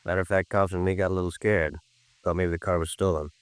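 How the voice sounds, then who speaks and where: A man's voice: low-pitched, steady tone, fast talker, clean tone, no room sound, close to an everyday voice. An actor playing an evasive ex-convict suspect in his thirties in a 1950s radio crime drama, defensive and slangy.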